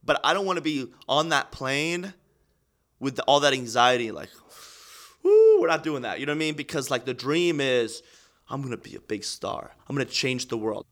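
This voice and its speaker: Bright-voiced young man telling his story. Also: mid-range voice, sing-song, normal speed. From Estonia. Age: 20s. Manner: candid, earnest storytelling, swings between quiet and fired up. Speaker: aspiring pro wrestler. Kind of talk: documentary interview.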